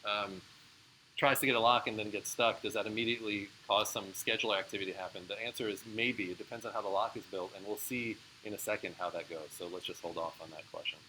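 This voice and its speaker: A man's voice: fairly low pitch, fairly even intonation, fast, bright, forceful tone, slight background noise, low-quality recording. A man giving a live university lecture, explaining in a casual way with frequent fillers and answering student questions. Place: lecture room.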